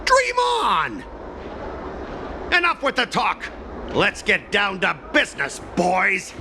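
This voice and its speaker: Petty villain for a game: boastful sore loser, slow melodramatic speeches, gravelly edge, shrill shouted outbursts. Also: male voice, wildly swooping pitch, noisy background, heavily acted. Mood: scornful. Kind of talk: video game voice acting, melodramatic villain monologue.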